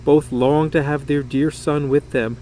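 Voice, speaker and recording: A man reading a children's story aloud in an expressive, dramatic, emphatic way. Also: fairly high pitch, a little slow, a little hiss or hum. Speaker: storybook reader.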